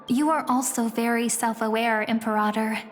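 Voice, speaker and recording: A woman playing a gentle, earnest young guide in a video game, explaining things in a measured way. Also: a little high, fairly even intonation, close to an everyday voice. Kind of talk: video game story dialogue. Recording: background music under the voice.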